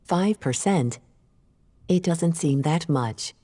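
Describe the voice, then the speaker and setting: A curious, unimpressed female AI from a radio play, acted by a woman. The voice sits very low for a woman and is soft-edged and mellow. Questions come short and flat, ringing in a long reverb. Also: slow pace, exaggerated voice. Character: female artificial intelligence, curious, blunt, unimpressed by humans. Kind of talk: scripted audio drama dialogue.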